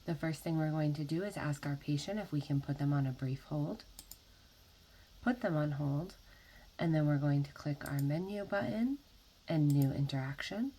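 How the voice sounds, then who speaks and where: A woman narrating an instructional software tutorial as a trainer, explaining methodically one step at a time. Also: low-pitched, moderate pace, slightly rough.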